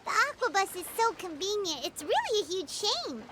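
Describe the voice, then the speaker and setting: A woman's voice: extremely high pitch, big pitch swings, moderate pace, background noise. A woman voicing a tiny, childlike floating fairy companion, chirpy and blunt, in a video game. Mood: curious, puzzled. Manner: refers to self in third person. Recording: game music behind the voice.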